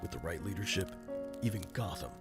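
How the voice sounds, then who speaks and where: A man playing a wry, guarded rich gentleman in an audio drama, speaking in a dry, understated way. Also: deep voice, expressive, brisk, over loud music. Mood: measured, serious.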